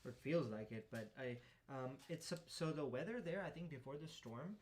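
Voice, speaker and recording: A man's voice: mid-range voice, lively intonation, a little fast. A young man co-hosting a live building stream, chatting casually, hedging and laughing along. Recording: noisy live-stream audio.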